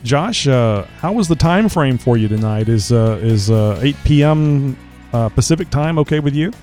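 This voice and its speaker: A man's voice: medium pitch, expressive, moderate pace, darkish tone, faint music behind. A man hosting a casual chat show, rambling with lots of filler words, his voice a little crackly as he recovers from a cold. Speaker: podcast host.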